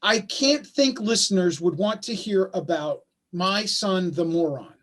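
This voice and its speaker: A man's voice: high-pitched, measured pace, a bit bright. A man playing a bitter, anguished father and husband in a staged play reading, speaking with emphatic insistence over a video call. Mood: reading aloud, wry.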